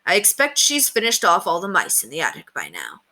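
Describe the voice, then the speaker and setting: A woman's voice: on the low side, wildly swooping pitch, fairly quick, pushed and bright, full character voice. A woman voicing a noble, kindly old knight, hearty and commanding, in a storybook read-aloud. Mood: calm, kindly. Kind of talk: storybook character reading.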